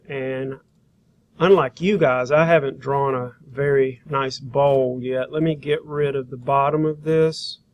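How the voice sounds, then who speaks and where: Higher-voiced man giving an informal software tutorial. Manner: informal, thinking aloud while working. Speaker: graphic design instructor.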